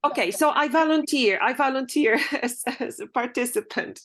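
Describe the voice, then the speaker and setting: A woman from Poland, Polish her first language, puts a question to the speaker on a video call. Her voice sits a little high, and she talks haltingly, restarting her sentences often. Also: crowd noise. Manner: hesitant, halting with many restarts. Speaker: workshop participant asking a question.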